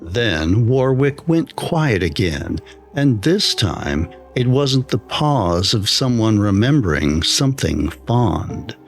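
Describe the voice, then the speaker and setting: A man's voice: fairly low pitch, very animated, slow. A man narrating a scripted first-person horror story as a storyteller. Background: music.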